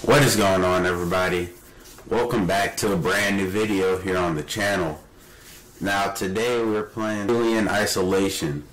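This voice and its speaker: A man's voice: sits low, normal speed, poor audio. A man with a stuffy, congested nose, giving casual commentary as he plays a horror game. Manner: relaxed, chatty. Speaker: gaming youtuber. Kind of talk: casual let's-play commentary.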